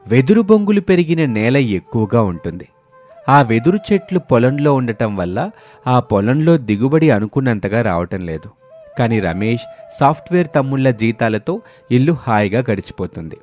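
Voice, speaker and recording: A man's voice: medium pitch, darkish tone. A man narrating a family moral story in a scripted, dramatic storytelling style.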